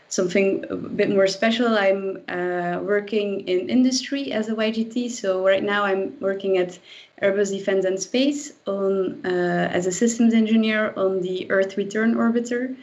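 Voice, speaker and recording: A woman's voice: mid-range voice, darkish tone. A woman in her twenties from Belgium, a guest casually introducing herself on a livestream over a lo-fi online call. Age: 20s. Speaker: guest, young trainee engineer. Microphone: video call microphone. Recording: dull lo-fi online call audio.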